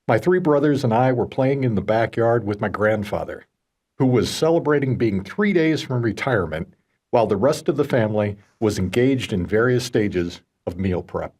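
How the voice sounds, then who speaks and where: A man narrating a first-person audiobook as its author, telling a personal story in measured phrases. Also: on the low side, animated, darkish tone. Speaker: author reading own audiobook.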